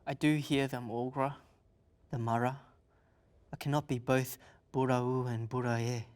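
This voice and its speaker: Woman playing a low-voiced, earnest young boy. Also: level tone, unhurried, darkish tone. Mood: earnest, solemn. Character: earnest young boy of a tribe, doubting himself. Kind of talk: table read of a fantasy audio drama.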